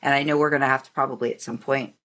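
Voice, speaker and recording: Low-voiced woman in casual podcast chat. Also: steady tone, racing, crowd noise. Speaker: podcast host. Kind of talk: casual group discussion.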